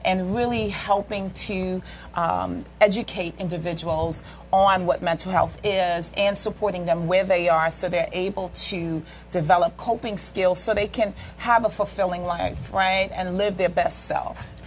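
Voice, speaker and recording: A woman's voice: on the low side, steady tone, measured pace, slight background noise. A woman in her forties, a guest therapist, explaining things in an interview and checking in with "right?" and "okay?". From United States. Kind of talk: interview talk.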